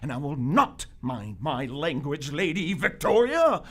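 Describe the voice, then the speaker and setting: An actor playing an indignant, pompous English gentleman in live radio theater, blustering and formal. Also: medium pitch, sing-song, unhurried. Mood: indignant, exasperated. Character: indignant, pompous young English gentleman, exasperated royal agent.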